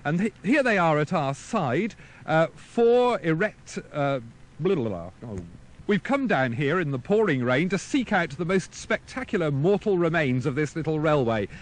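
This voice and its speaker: A man's voice: on the high side, big pitch swings, moderate pace. A man delivering a reporter's rehearsed piece to microphone, stumbling and restarting. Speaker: outside broadcast reporter.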